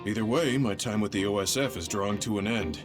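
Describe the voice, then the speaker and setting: Man playing ageing military veteran, low pitch, gruff, tired yet determined, game drama, music behind. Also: fast, lightly acted. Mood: weary, resolute. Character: weary, resolute ageing male veteran soldier.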